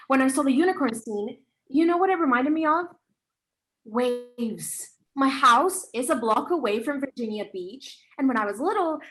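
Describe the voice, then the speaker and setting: A woman acting an eager, flustered author in a play read-through, excitable and often searching for words. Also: high-pitched, rough recording. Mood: wondering. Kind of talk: stage play table read.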